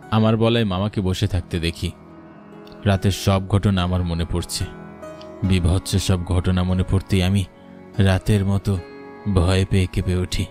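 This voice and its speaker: Guy doing scared village kid narrating a horror cartoon, earnest and dramatic, music loud behind him. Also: deep voice. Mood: shaken, subdued. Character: frightened young village boy recounting a ghost encounter.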